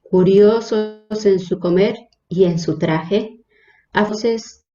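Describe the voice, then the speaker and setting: Nahuatl-native woman from Mexico, mellow and unhurried, hesitant with fillers; echoey, dull audio. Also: medium pitch. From Mexico, Hidalgo state. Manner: hesitant, frequent fillers and restarts. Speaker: religious sister, guest. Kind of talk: interview, personal introduction.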